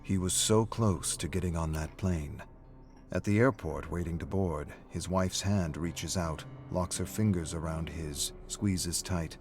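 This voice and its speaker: A man with a very low voice narrates a scripted dramatic story. His delivery is measured and brooding, and music plays clearly beneath him. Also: darkish tone.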